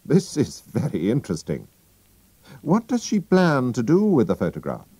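A sharp, calm detective , as a man reads him aloud speaks in a brisk, sure-of-himself way. The voice sits clearly higher than the reader's own and moves up and down a great deal. It is a storybook reading. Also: dry recording. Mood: assured.

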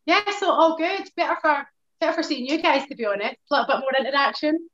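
Scottish woman, 30s, Glasgow accent, bright enthusiastic guest; dull lo-fi video-call audio. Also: high voice, fast talker. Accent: Glaswegian Scottish. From Scotland. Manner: upbeat, warm laughter, enthusiastic. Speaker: guest, hair colour director. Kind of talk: casual video-call interview. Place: living room with sofa, indoors. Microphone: video call laptop.

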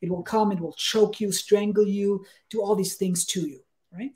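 A man, explaining things conversationally as an expert guest in an emphatic, halting way, often checking that he is followed. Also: high-pitched, fairly even intonation, a little mellow. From Denmark. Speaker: guest expert, sleep researcher. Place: small room with bookshelves, on a video call.

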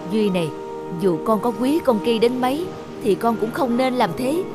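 A woman playing a gentle but firm mother in a cartoon, explaining and admonishing in a measured way. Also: on the high side, expressive, over music, close to an everyday voice. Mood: firm, reproving. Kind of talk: Vietnamese cartoon dubbing.

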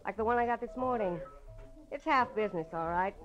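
A woman's voice: mid-range voice, brisk, muffled recording, natural voice. An American actress playing a tough, shrewd, guarded businesswoman in a late-1940s radio noir drama. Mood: confiding. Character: tough, shrewd woman silk merchant, a femme fatale client. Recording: vintage radio drama, faint music behind.

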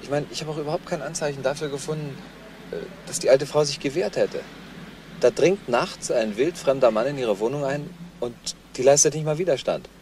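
An actor playing an earnest junior police detective who reports diligently and haltingly in a German crime radio play. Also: male voice, mid-range voice, darkish tone, slight background noise, lightly acted. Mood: reasoning.

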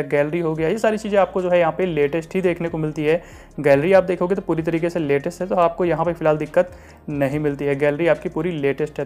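An Indian man with a fairly high voice narrates a phone software review. He talks very fast in a repetitive, explanatory patter. Soft music plays behind him. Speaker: tech reviewer. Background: light background music.

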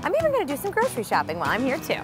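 A woman's voice: high voice, huge pitch swings, rapid-fire, loud music behind the voice. A woman in her thirties hosting a TV food segment in a grocery store and kitchen, upbeat and enthusiastic, on a lapel mic. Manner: bubbly, enthusiastic. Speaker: TV food show host.

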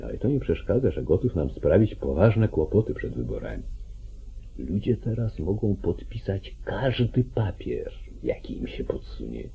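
An actor playing a calculating, commanding judge and politician in an old radio play, speaking deliberately. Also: male voice, low voice, very animated, gravelly, slightly rough, full character voice. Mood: scheming, commanding. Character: calculating, domineering small-town judge, older male politician. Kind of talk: mid-century Polish radio play acting. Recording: muffled, narrow-band 1940s radio recording.

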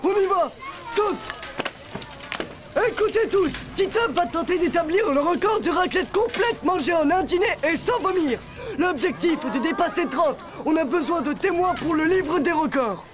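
Bossy high-pitched cartoon boy. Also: animated, normal speed. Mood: enthusiastic. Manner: emphatic, grandiose organizer. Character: bossy, excitable boy, greedy foodie sidekick. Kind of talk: French animated series dialogue.

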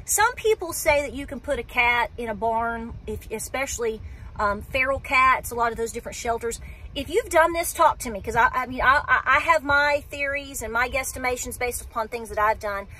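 Lady vlogging from her parked car into her phone, high voice, rambly and chatty. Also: moderate pace, bright, forceful tone. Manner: chatty, rambling, self-interrupting. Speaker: homestead vlogger. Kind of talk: casual talk to camera. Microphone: phone camera at arm's length.

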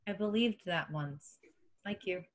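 A woman's voice: on the low side, a little slow, lightly acted. A woman reading the part of a wise, motherly older resistance general in a table read over a video call. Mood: subdued, sorrowful. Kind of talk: table read of a film script.

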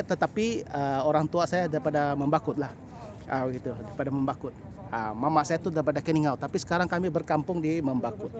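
Middle-aged male vlogger, Sabahan Malay accent, high-pitched voice, halting casual delivery, faint outdoor noise behind. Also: dull audio. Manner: halting, repeats phrases, frequent "ah" and "lah" tags. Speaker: birding vlogger. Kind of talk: casual vlog chat to camera. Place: outdoors on a grassy path by a canal.

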